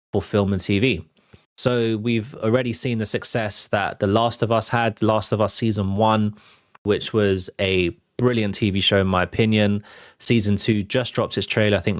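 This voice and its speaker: A man's voice: on the low side, dry recording, studio quality. A man hosting a film and TV news show, chatting to viewers in an informal, enthusiastic, conversational way. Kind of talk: casual entertainment news commentary to viewers.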